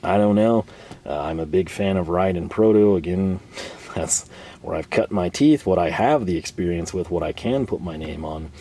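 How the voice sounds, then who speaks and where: Low, mellow-voiced man, slightly hoarse. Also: normal speed. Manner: rambling, chatty, frequent fillers and chuckles. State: slightly hoarse, losing his voice. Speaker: tool channel host. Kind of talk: casual show-and-tell talk. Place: home workshop.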